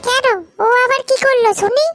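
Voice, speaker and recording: Cartoon mum: bewildered village housewife acted by a woman, pitched very high, questioning and exclaiming. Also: fairly even intonation, moderate pace, fairly bright tone, faint music behind. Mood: puzzled, alarmed. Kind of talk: comedy cartoon dialogue.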